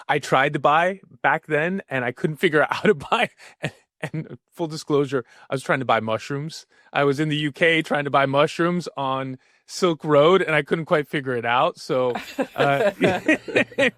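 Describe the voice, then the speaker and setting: A man's voice: medium pitch, fairly bright tone, no room sound, studio quality. Rambling enthusiastic male podcast host. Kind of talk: casual interview chat.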